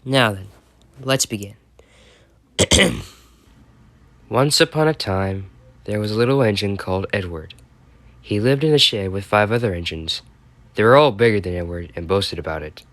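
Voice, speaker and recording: A man's voice: lowish voice, unhurried, long pauses, a bit bright, no room sound. A man with an American accent reading a bedtime story and chatting about it as he goes. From United States. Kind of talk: storytelling and chat.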